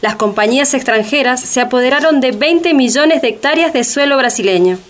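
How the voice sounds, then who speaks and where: A woman's voice: high voice, brisk. A woman narrating a radio drama in a scripted documentary style.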